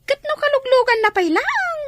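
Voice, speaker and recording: An actress with an Ilocano accent playing a casual, impatient youngster talking back to a parent in a comedy drama. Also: female voice, very high-pitched, big pitch swings, moderate pace, a bit bright, no room sound, heavily acted.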